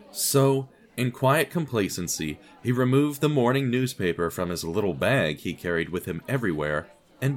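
Guy narrating a story podcast, lowish voice that swings up and down a lot, wry and dramatic. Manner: wry, dramatic, deliberate phrasing.

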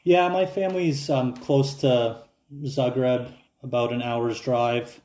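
A relaxed man who grew up speaking Croatian, chatting as a podcast guest. He talks thoughtfully and pauses often with fillers. His voice rings a little in a roomy space. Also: mid-range voice. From Canada. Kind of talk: casual podcast chat.

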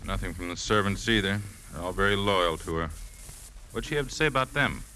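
An actor playing a gruff, matter-of-fact police captain in a 1950s radio drama. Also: male voice, deep voice, animated, a little fast, sharp tone. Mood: businesslike. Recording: noisy old 1950s radio recording.

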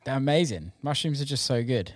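A man's voice: medium pitch, lively intonation, fairly quick, no room sound, studio quality. A British man chatting casually and informally, enthusiastic and given to swearing. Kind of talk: casual chat about food.

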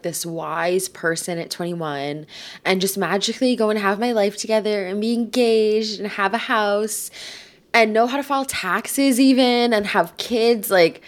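A woman in her twenties hosting a casual solo podcast, chatty and rambling, filling her talk with "like", with a stuffy nose from a cold. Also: medium pitch. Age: 20s. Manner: chatty, rambling, says "like" a lot. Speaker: podcast host.

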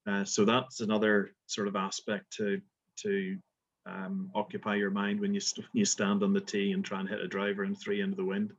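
A man's voice: lowish voice, completely flat delivery, normal speed, breathy edge, highs cut off. A middle-aged man from Belfast with a Belfast accent, a golf resort manager answering interview questions with many fillers and restarts. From Northern Ireland.

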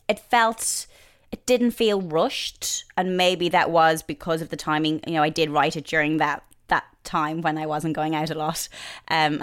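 A woman's voice: deep voice, fairly bright tone, clear, resonant tone. A woman in her thirties with an Irish accent, chatting casually as an interview guest, with lots of fillers and restarts. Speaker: presenter and author, guest.

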